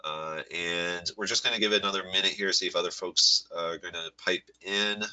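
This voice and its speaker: A man with a low voice and a sharp, forceful edge talks through a live software webinar. He has a head cold that makes him a little nasal, and he explains in a loose, rambling way with plenty of "uh"s and restarts. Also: a little fast, rough recording. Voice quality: slightly nasal. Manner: relaxed, rambling, filler-heavy explaining. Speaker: software workshop presenter. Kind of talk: live webinar walkthrough with screen share.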